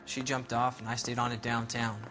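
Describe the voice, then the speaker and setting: A brash young sailor in a 1940s crime game, acted by a man. He has a mid-range voice and answers questions defensively, in blunt slang. Music is clearly heard under his words. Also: mid-range voice, flat delivery, brisk, close to an everyday voice. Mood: guarded. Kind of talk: video game cutscene acting.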